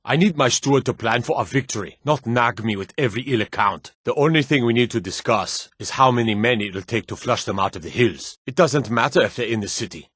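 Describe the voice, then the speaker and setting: A man voicing a gruff, wary city guard in a fantasy video game, stern, clipped and world-weary. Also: medium pitch, animated, brisk, few pauses, a bit bright, no room sound, clean studio sound. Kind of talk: video game character dialogue.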